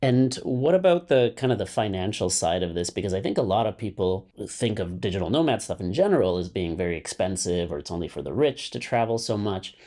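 A man's voice: fairly low pitch, expressive, fairly quick, hardly any pauses, mellow. A man hosting a podcast interview, asking long framing questions in a friendly way. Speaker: podcast host. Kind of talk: casual interview.

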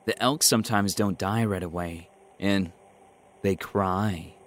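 A man's voice: low-pitched, fairly even intonation, slow. A man voicing a cocky, grumbling young male hunter in an audio story, with taunting, whiny bravado. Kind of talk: audio short story character acting.

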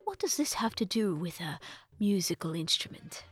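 A woman's voice: on the low side, big pitch swings, moderate pace, breathy edge, soft background music. A woman voicing an old male professor, eager, inquisitive and theatrical, in a storybook narration. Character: excitable, curious old male professor and researcher.

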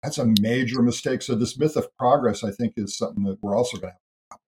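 Man, interview guest, earnest explanatory tone, thoughtful, middle-pitched voice. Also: fast talker, background noise. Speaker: guest speaker on history and ancestry. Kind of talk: interview conversation.

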